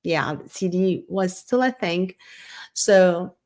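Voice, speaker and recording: Slow, unscripted woman. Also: on the low side, animated, a little mellow. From Syria. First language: Arabic. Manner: loose, rambling, frequent fillers and restarts. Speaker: host. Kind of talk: unscripted live talk.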